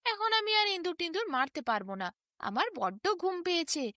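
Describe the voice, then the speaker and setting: Woman as a high-voiced, lazy cat. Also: sing-song, brisk, sharp tone, no echo, studio quality, full character voice. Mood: sleepy refusal. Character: lazy, sleepy cat, politely unhelpful.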